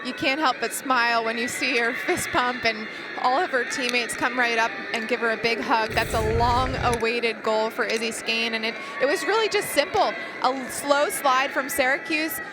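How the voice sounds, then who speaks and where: Female color commentator, conversational, a little high-voiced, working a live game amid very loud stadium noise. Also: moderate pace, hardly any pauses. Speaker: sports color analyst. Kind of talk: live sports analysis, conversational.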